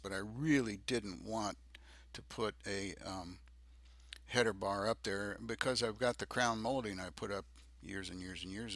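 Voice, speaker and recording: An older man narrating a DIY project video off the cuff, halting and hesitant, with many ums. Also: deep voice, bright, forceful tone. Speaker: DIY presenter.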